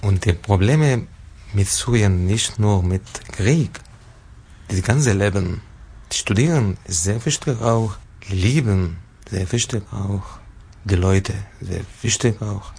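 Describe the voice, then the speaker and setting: A man in his thirties from Syria with an Arabic accent, speaking simply and haltingly as an interview guest. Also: fairly low pitch, darkish tone, a little hiss or hum. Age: 30s. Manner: simple, halting non-native phrasing. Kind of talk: personal talk in a radio interview.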